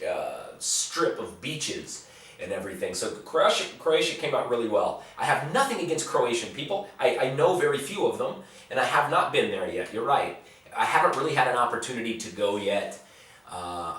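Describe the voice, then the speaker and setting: A man's voice: fairly low pitch, fairly bright tone, distant mic. A man talking bluntly in a casual vlog, answering viewer questions. He rambles, repeats words and swears freely. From United States. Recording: very echoey room, voice off-mic.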